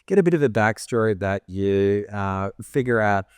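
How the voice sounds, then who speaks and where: Hesitant low-voiced male host. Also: lively intonation, normal speed, clean tone, no room sound. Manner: hesitant, repeats words. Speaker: host and property adviser. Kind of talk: casual interview.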